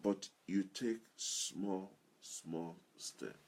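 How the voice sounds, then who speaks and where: Male self-improvement speaker: slow, deliberate, a bit husky, earnest and repetitive. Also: low-pitched. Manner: earnest, repeats key phrases for emphasis. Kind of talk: motivational talk to listeners.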